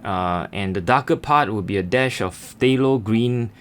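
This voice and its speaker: A middle-aged man from Malaysia, talking casually through a sketching and watercolor tutorial with many fillers and pauses. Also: on the low side. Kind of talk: relaxed tutorial narration.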